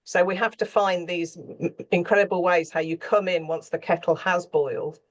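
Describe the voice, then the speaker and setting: A woman's voice: on the low side, fairly even intonation, normal speed, muffled recording. A woman speaking as a scriptwriter in a panel conversation, chatty and anecdotal, wandering between thoughts. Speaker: radio drama scriptwriter, panel guest.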